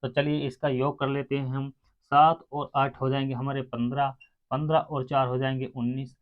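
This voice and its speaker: A man's voice: mid-range voice, little pitch movement, moderate pace. Male teacher, tinny phone-like sound. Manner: addresses students directly, counts sums out aloud. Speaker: maths teacher for exam aspirants. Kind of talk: step-by-step lesson to students. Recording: muffled, phone-like narrow sound.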